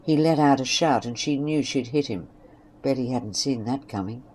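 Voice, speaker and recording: A woman's voice: very deep voice, moderate pace, a little mellow, poor audio. A woman reads a scripted western story as narrator. Faint ambience and noise sit behind the voice.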